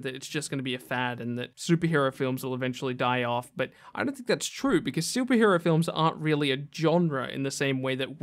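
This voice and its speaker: A man hosting a gaming list video, giving casual, opinionated commentary in a conversational way. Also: medium pitch.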